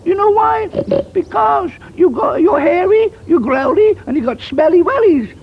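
A man voicing the little pigs in a children's story, high and squeaky, answering back the wolf together. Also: animated, moderate pace, gravelly, darkish tone, full character voice. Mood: cheeky. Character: squeaky little piglets, cheeky and defiant.

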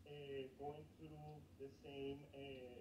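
A woman's voice: rumbling low, flat delivery, slow. A woman calling in to a live video call who asks a question politely and a little hesitantly. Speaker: caller. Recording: echoey, distant video call audio with noise.

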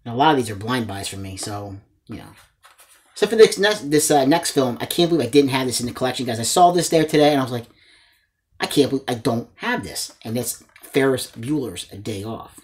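A man's voice: medium pitch, expressive, a little fast. An enthusiastic man in his forties hosting a collecting vlog, chatting casually to his viewers and often addressing them as guys. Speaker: host of a movie collecting channel. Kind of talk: casual chat showing a haul to camera. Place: small indoor room lined with disc shelves.

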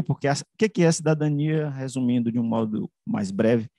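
A middle-aged man explains his research in a conversational, academic way. His voice sits at an average male pitch, with very little room echo, as heard on a video call. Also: a little mellow, studio quality. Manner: conversational, often tags phrases with "né". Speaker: researcher and author giving a presentation. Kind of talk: academic slide presentation, explanatory talk. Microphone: computer mic on a video call.

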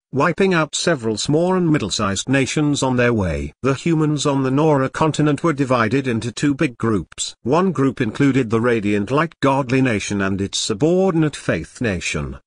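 Male narrator for scripted fiction audiobook, mid-range pitch, dry recording. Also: no echo.

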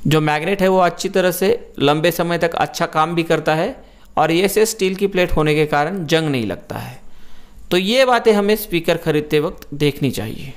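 Clear, higher-pitched man explaining. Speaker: presenter of an audio equipment channel. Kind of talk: explanatory talk to camera. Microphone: lapel mic.

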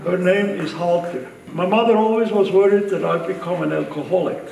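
An older man with a Swiss accent gives an informal, dry-humored toast at a dinner, speaking in halting phrases into a handheld mic in a large barn. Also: high-pitched, gravelly, darkish tone. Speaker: winery owner giving a dinner toast. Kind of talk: informal after-dinner speech. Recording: echoey barn, distant mic, crowd noise.

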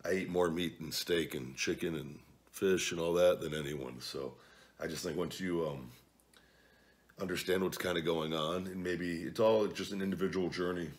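Deep-voiced, blunt man ranting at home. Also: fairly even intonation, moderate pace. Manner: blunt, profane, swings to loud outbursts and mutters. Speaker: vlog host. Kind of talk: casual chat reading viewer comments. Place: home living room at night, seated at a table.

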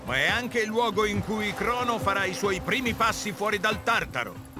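A man's voice: sits high, expressive, normal speed, music in the background. A man playing a brash, scornful warrior companion in an Italian video game dub. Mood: scornful, excited.

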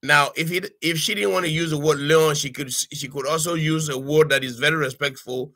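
An older man from Cameroon giving an informal online language lesson from his home study, speaking haltingly with many fillers and restarts and checking in with "okay?". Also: a little high, steady tone, pushed and bright, dry recording. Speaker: language tutor. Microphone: webcam on a video call.

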